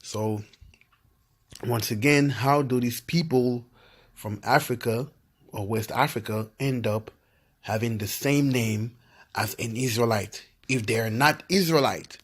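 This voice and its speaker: Ivorian guy hosting his own channel, reads stuff out and keeps saying yeah, middle pitch. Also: takes it slowly, breathy edge. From Ivory Coast. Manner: loose and repetitive, with frequent "yeah" and "you know what I'm saying" fillers. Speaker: video host. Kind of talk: casual research commentary reading from websites.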